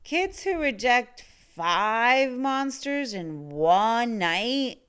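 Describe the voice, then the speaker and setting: A woman's voice: on the high side, big pitch swings, fairly bright tone, clean tone, pitched above the performer's own voice, heavily acted. A woman voicing a big, scruffy boy monster who slurps, whines and draws out words while trying to sound menacing. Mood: whiny, warning. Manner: slurping, drawn-out, whining.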